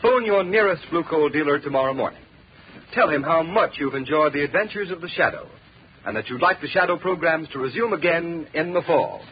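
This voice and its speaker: A man's voice: on the high side, lively intonation, a little fast. A man announcing on 1930s radio in a polished, formal, emphatic scripted style. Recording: dull, faintly noisy 1930s radio.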